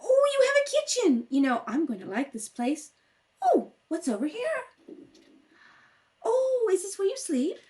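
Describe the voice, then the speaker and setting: A woman's voice: very high voice, sing-song, normal speed, long pauses, warm tone. A woman acting out a flighty, headstrong rich young woman, gushing and childlike, in an amateur radio play read-through. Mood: breezy, chatty. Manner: gushing, breezy, insistent, childlike. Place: at a kitchen table at home.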